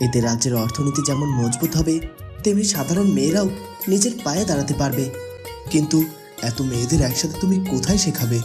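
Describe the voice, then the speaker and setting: A man's voice: fairly high pitch, expressive, fast talker, music in the background, close to an everyday voice. A performer voicing a kind young prince praising his wife's plans. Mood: admiring.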